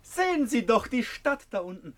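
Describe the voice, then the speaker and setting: An actor playing an eccentric, restless suitor in a 1950s German radio drama, impulsive and rhapsodic, moving between hushed confession and emphatic outburst. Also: male voice, very high-pitched, big pitch swings, moderate pace, slight background noise. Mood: rapturous.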